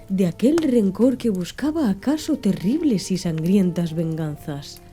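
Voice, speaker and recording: A woman reading an audiobook in a soft, slow, hushed ASMR style, with faint background music. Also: mid-range voice. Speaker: audiobook narrator.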